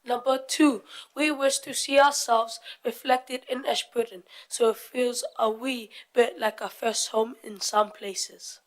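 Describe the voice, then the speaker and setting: A woman's voice: fairly high pitch, fairly even intonation, measured pace, poor audio. A young woman from Fiji reading out her part of a prepared group presentation. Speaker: youth forum presenter.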